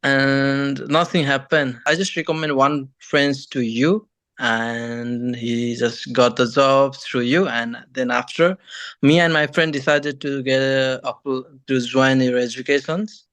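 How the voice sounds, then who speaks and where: A young man from Nepal with a Nepali accent, answering interview questions in halting, non-native phrasing. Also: mid-range voice, steady tone, fairly bright tone, smooth, no room sound. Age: young adult. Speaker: guest, engineering graduate and former student.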